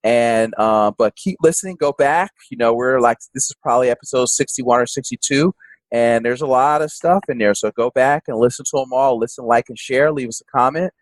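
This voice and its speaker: A man's voice: medium pitch, a little fast, dry recording, low-quality recording. Folksy, rambling male podcast host. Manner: folksy, rambling, frequent fillers. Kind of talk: casual interview chat.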